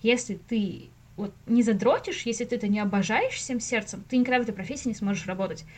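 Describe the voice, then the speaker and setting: A woman's voice: fairly high pitch, fast. A woman around thirty chatting casually as a podcast guest, retelling stories in slangy speech and acting out people's reactions. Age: late 20s to early 30s. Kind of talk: casual chat, storytelling.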